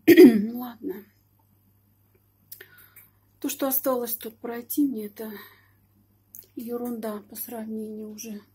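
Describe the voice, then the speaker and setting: A middle-aged woman chatting to her phone camera by a high-rise apartment window, rambling and matter-of-fact. Her voice sits a bit high but sounds mellow and very husky, with muttered asides dropped in. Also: fairly even intonation, slow, pauses often. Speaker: vlogger. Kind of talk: casual personal monologue. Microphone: phone camera pointed out the window.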